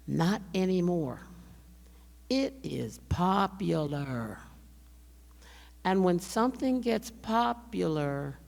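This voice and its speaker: An older woman lectures in a low, gravelly voice that is straining to hold up. She speaks slowly and directly to the audience, as if chatting one to one, and a long hall reverb trails her words. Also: a little mellow. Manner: measured, conversational, addressing listeners directly. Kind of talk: public lecture.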